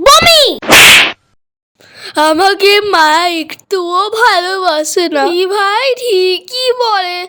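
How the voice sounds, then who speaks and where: Squeaky, stubborn cartoon child. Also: female voice, pushed and bright, full character voice. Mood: disgusted, then sulky. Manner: whining, insistent. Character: stubborn, whiny young child craving a treat. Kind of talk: Bengali cartoon voice acting.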